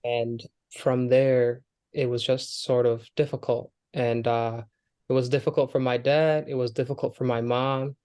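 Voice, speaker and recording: A man's voice: mid-range voice, little pitch movement, unhurried, warm tone, smooth. Earnest young man telling his story. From Kenya, Somali family. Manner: earnest, with frequent fillers and restarts. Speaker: guest, Air Force airman. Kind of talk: conversational interview storytelling.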